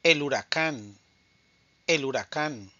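Male language teacher running a slow drill, bright tone, dull lo-fi dry recording. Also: fairly high pitch, dry recording. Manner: slow, deliberate, says each answer twice. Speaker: Spanish teacher. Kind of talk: scripted vocabulary drill.

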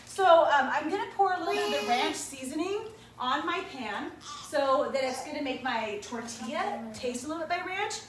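Woman, 30s, high voice, chatty, self-mocking, cooking vlog, distant camera mic. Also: expressive, moderate pace. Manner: chatty, self-mocking, upbeat, talks to viewers as "you guys". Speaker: home cooking vlogger. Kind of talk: casual cooking demo to camera. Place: home kitchen, indoors. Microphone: camera across the room. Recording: echoey kitchen, distant mic, background noise.

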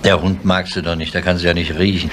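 Low-voiced grumpy police inspector. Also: male voice, flat delivery, quick pace, a bit bright, a little hiss or hum. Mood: insistent. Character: grumpy, sceptical, needling male police inspector. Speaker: actor in a crime radio play. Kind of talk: 1970s German radio crime drama acting.

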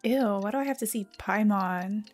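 A woman game streamer speaks very slowly in casual bits of commentary. Her voice is a little hoarse, and game music plays behind her. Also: medium pitch, a little mellow. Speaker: vtuber streamer. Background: video game music.

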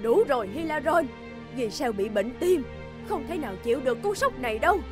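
A protective old peasant mother in a cartoon fairy tale. Her voice is fairly high, anxious and solemn, with clear music behind it. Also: expressive, a little fast. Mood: alarmed. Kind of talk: animated fairy-tale dubbing.

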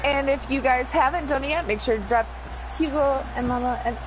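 A woman joins a wrestling game stream as a co-commentator. Her voice is a little high, and she chats in a relaxed, teasing way. Clear background noise runs under her voice-chat line. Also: fairly quick, muffled recording. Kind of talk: casual stream banter.

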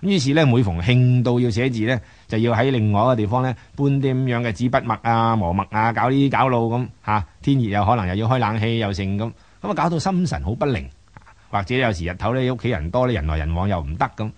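A man's voice: medium pitch, animated, fast talker. A man with a Hong Kong Cantonese accent giving conversational radio commentary, rambling and colloquial, repeating words as he goes. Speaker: radio storytelling host and commentator.

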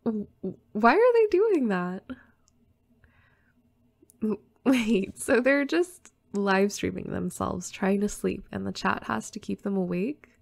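A young girl next door, played by a woman, talks in an unhurried, casual way with plenty of vocal fry. She is soft-spoken, teasing and playful, as in an intimate bedtime roleplay audio. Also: medium pitch, lively intonation, heavily acted. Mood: teasing, amused.

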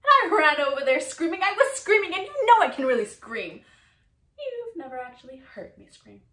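A woman performing a comedic stage monologue as a frantic, indignant woman, telling her story in an animated, emotional way. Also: medium pitch, normal speed, vocal fry, reverberant, distant mic. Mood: indignant, furious. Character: frantic, indignant woman recounting a furious outburst.

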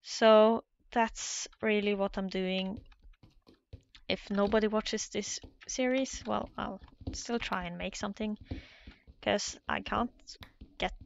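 A woman's voice: medium pitch, vocal fry, highs cut off, a little hiss or hum. A Norwegian woman with a Norwegian accent, hesitantly commentating her own Minecraft play in a casual, nervous way. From Norway. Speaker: Minecraft let's player.

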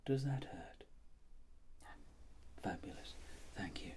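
A middle-aged man speaking in a soft, breathy, mostly whispered voice, gently and deliberately explaining each step as a doctor in an ASMR role-play. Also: low voice, animated. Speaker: ASMR performer playing a doctor examining a patient.

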